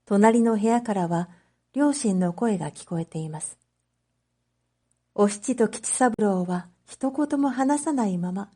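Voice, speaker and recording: A woman narrating a scripted story as a teacher for language learners, reading carefully in clear phrases. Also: mid-range voice, mellow. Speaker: teacher narrating read-aloud material.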